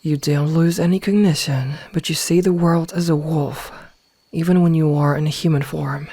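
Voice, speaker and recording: A woman playing a gentle, motherly werewolf in a soft ASMR roleplay, soothing and reassuring as she guides a newly turned packmate. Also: sits low, steady tone, normal speed, breathy edge. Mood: calm, explaining.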